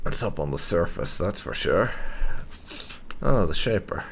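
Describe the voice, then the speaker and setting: A man's voice: sits low, lively intonation, unhurried, creaky, a bit bright, a little hiss or hum. A man doing roleplay-style commentary as he plays a fantasy game, musing aloud with thoughtful hums. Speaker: gamer, let's player. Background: game ambience.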